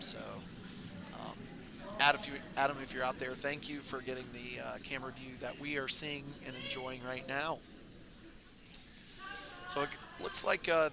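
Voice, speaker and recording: Raspy-voiced man doing curling commentary. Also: medium pitch, noisy background. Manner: relaxed, rambling, many fillers. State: hoarse from talking all weekend. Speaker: curling club stream commentator. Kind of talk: casual live sports commentary. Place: curling club.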